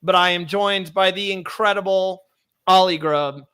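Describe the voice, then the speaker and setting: A young man hosting a live podcast, chatty and upbeat, his voice hoarse as it recovers from being sick. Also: high voice, steady tone, measured pace, pushed and bright. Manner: chatty, upbeat, reads viewer comments aloud. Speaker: podcast host.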